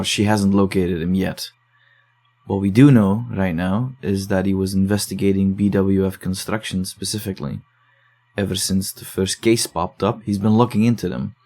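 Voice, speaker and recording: Low, mellow-voiced man reading case notes. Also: creaky. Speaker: investigator reading case files. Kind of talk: scripted audio drama, dialogue and reading aloud.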